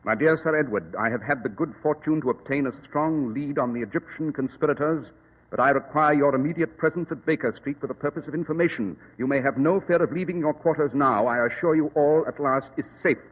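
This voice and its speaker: An actor playing a brisk, self-assured male detective in an old-time radio drama, with precise, formal, condescending diction. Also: fairly high pitch, slightly rough, phone-quality sound. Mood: measured. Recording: echoey, band-limited old radio recording.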